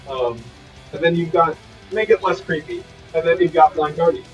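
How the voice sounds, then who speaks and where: A man's voice: fairly high pitch, animated, moderate pace, recorded from a distance, muffled recording, faint music behind. A man co-hosting a casual podcast chat, laughing easily and musing aloud in food comparisons. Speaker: podcast co-host.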